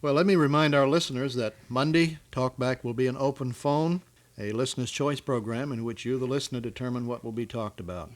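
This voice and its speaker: Male stand-in talk radio host, medium pitch, informal amateur interviewer on a 1970s local station. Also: brisk. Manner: informal, unpolished amateur delivery.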